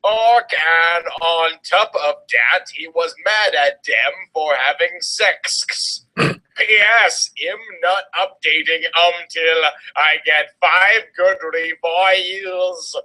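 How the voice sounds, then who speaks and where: A man's voice: a little high, slow pace, sharp tone, heavily acted, rough recording. A man voicing a petulant, melodramatic teenage girl author reading out her notes. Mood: indignant whining.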